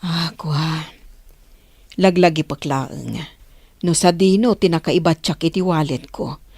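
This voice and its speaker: A woman's voice: sits low, slow pace, a little mellow, breathy edge, no room sound, heavily acted. A woman playing a guarded, flustered middle-aged village mother in a radio drama. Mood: flustered, evasive.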